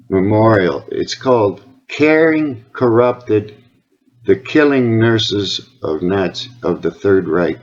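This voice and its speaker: A man with a Canadian accent talking earnestly and insistently on a phone call, rambling from point to point. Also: fairly low pitch. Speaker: caller, health awareness advocate. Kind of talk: phone conversation. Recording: dull lo-fi phone call recording.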